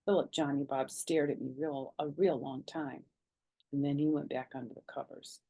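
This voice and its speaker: A woman reading a children's chapter book aloud as a storytime narrator, animated and dramatic in her telling. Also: sits low, moderate pace.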